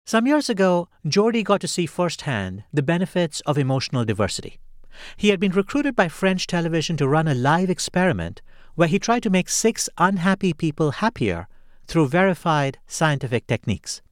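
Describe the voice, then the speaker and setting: A man's voice: a little high, sing-song, moderate pace, no room sound, studio quality. A man hosting an interview, attentive and summarizing his guest's ideas back in plain terms. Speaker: interview host. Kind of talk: podcast interview.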